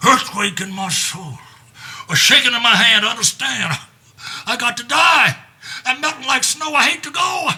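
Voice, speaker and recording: A man playing an aging king who is fading, with a husky voice, chanting in soulful rhymes and bursting into shouts. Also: high voice, sing-song, normal speed, long pauses, voice pushed up, full character voice. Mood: lamenting.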